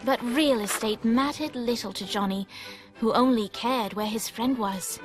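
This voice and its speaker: A woman's voice: on the high side, unhurried, lightly acted. A woman playing a composed, wry storybook narrator, reading scripted narration for a video game. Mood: wry, measured. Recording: music behind the voice.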